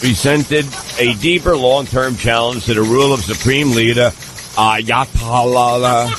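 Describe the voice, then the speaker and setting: Man, comedy news reader, slow halting delivery, frequent stumbles and mispronunciations, noisy recording with background rumble. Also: fairly even intonation. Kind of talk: mock newscast read aloud.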